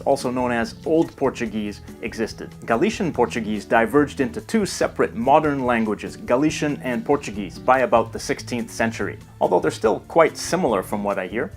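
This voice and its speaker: A man narrating a scripted educational video in a measured, explanatory way. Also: mid-range voice. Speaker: documentary narrator.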